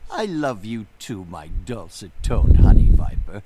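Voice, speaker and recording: A man's voice: lowish voice, huge pitch swings, measured pace, heavy background noise. A man playing a lovestruck, teasing little golem mechanic in a video game, playful and cajoling. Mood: teasing affection.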